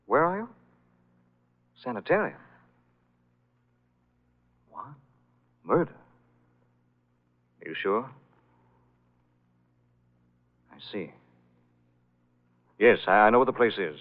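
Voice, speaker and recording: An actor playing a composed, commanding gentleman sleuth with an urbane, decisive manner in a 1940s-style radio mystery. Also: on the low side, sing-song, takes it slowly, pauses often, narrow, tinny audio. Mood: brisk, concerned. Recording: thin, band-limited old radio recording.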